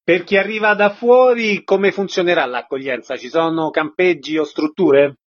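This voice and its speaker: A man's voice: a little high, moderate pace. A man speaking as a radio interviewer, presenting and putting questions to a guest. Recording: dull lo-fi radio sound.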